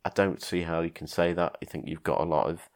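Low gravelly guy podcasting about movies, lots of ums and restarts. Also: steady tone, a little fast. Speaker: podcast host. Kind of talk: casual film discussion podcast.

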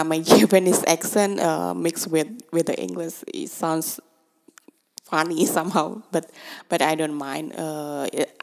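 A woman's voice: sits low, vocal fry, darkish tone. A woman talking casually, her first language Indonesian, speaking hesitant English with many fillers and restarts and laughing often. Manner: switches between Indonesian and English mid-sentence. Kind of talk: casual monologue.

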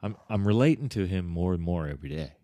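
Deep-voiced man, lively rambling chat. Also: fast talker, no echo. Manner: rambling, swears, lots of fillers. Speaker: podcast co-host. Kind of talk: casual chat.

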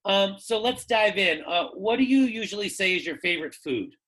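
A podcast host asking questions in a playful, laughing, animated way. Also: mid-range voice, moderate pace. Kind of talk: casual conversational interview.

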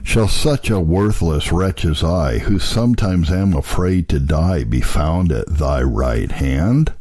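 Deep, husky man reading solemnly. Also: lively intonation, slow, warm tone. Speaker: narrator reading historical religious texts. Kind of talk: solemn audiobook-style reading of an old religious text.

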